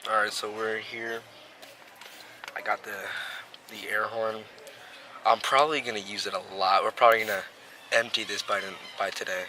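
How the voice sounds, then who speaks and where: A man talking casually and offhandedly to a friend while they hide in a large store. The store's background noise comes through clearly behind his voice. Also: fairly low pitch, fairly even intonation, moderate pace, pauses often. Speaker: vlogger's friend. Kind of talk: casual chat. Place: inside a large retail store, hidden in a fort.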